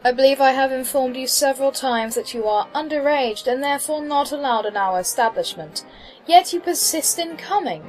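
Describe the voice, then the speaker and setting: Woman acting as classy troll barmaid, fairly high voice, precise wordy diction, poised, dryly disapproving, music behind, fan-dub drama. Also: expressive, normal speed, rough recording. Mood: stern, formal.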